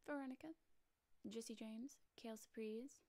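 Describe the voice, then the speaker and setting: A young woman playing a domineering, sarcastic high-school queen bee in a soft-spoken, often whispered ASMR roleplay, close on the mic. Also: fairly even intonation, a little slow, no room sound, lightly acted. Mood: smug, dismissive. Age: young adult.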